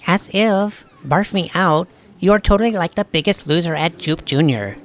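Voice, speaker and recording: A woman's voice: lowish voice, moderate pace, natural voice. A woman playing a snooty, scornful schoolgirl in a comedic audio drama, dismissive and slangy. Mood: disgusted, scornful. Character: snooty, scornful junior high mean girl with slangy talk.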